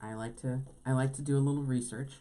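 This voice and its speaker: A woman talks through a beading project with an easy, self-assured manner. Her voice sits very low and sounds mellow and warm, and she muses as she explains. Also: fairly even intonation, fast talker. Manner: chatty, self-assured, musing. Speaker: co-host. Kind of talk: casual chat, craft demonstration.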